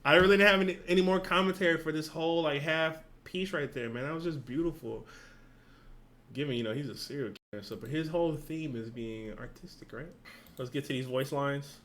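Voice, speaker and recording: A man hosting a reaction video, talking casually and excitedly, rambling when worked up. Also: a little high, brisk.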